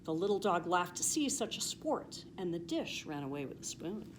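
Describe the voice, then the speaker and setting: An American woman reads a rhyming picture book for children. Her pitch swings widely and expressively, with an emphatic, sing-song lilt. There is light background noise behind her voice. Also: very animated, normal speed. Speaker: police officer reading a children's story. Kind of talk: expressive children's storybook read-aloud.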